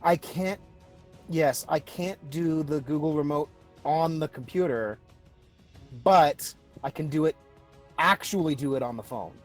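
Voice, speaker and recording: Higher-voiced man chatting over music. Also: a little slow. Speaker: player in an online tabletop game. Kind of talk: casual chat. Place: online group voice call. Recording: background music behind the voice.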